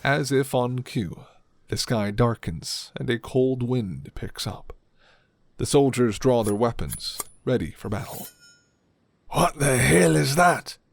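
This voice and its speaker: A man's voice: mid-range voice, slow, a little mellow. A man reading scripted story narration as the narrator of a fantasy tale.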